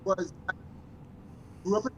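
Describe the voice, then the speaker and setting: A man from Trinidad who is a guest on a remote line and gives short, halting answers. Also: fairly bright tone, muffled recording.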